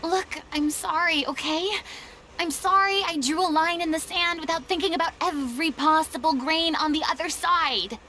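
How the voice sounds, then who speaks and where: A woman's voice: extremely high pitch, normal speed, sharp tone. A woman playing a proud yet guarded young former stage star, theatrical and articulate, in a video game. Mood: curt, self-deprecating. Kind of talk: dramatic game voice acting.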